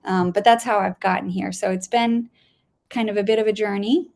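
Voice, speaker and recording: A woman's voice: mid-range voice, fairly even intonation, brisk, a little mellow. A woman from Spain who works as a scientist, chatting as a guest over a video call, with many ums, restarts and frequent laughter.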